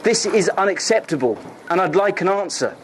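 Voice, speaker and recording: A man rants at a lecturer, hurling accusations in an angry, slightly high-pitched voice. The amateur recording carries clear background noise. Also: animated, normal speed. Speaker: student complaining about his grade. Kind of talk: heated confrontation in a lecture.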